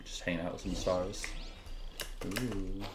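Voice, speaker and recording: A woman's voice: very deep voice, fairly even intonation, unhurried, very noisy. A woman streaming a video game, giving casual commentary with wry, self-mocking asides. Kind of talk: casual livestream gameplay commentary. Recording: loud game music behind. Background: video game music.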